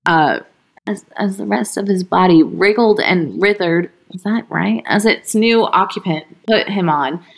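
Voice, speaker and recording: A woman podcast co-host reading a first-person horror story aloud. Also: on the low side. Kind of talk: horror story read aloud, then casual chat.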